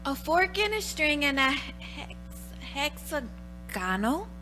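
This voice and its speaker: A high, bright voice of a careful little girl in a radio drama. She speaks slowly and haltingly, a painstaking follower with a lilting delivery. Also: sing-song, soft background music, exaggerated voice. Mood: careful, unsure. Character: careful younger little girl, tagging along. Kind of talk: live radio play acting.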